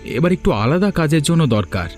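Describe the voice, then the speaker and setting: Outspoken village vendor played by a man, lively intonation, earnest and determined, moral-story cartoon dub. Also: on the high side, a little fast, soft background music. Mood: eager. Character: hard-up, determined, outspoken village snack seller.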